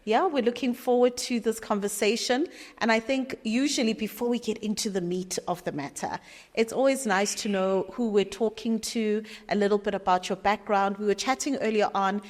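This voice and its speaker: A woman's voice: mid-range voice, fairly even intonation, normal speed. Woman hosting a business podcast, upbeat, lots of mm-hmms, reverby room. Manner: upbeat, many short affirmations. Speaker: podcast host. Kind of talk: hosted interview.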